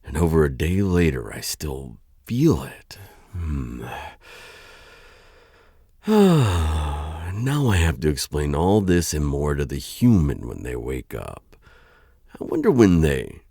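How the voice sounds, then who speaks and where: A male actor plays a flustered vampire lord with an extremely low, husky voice. He muses slowly in halting, stammering phrases, courteous but a little aloof, with lively swings in pitch, as in an intimate ASMR audio roleplay. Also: no room sound, clean studio sound, heavily acted. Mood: musing, unsettled. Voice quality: very deep, gravelly, husky voice. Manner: hesitant, stammering, musing with sighs and trailing pauses.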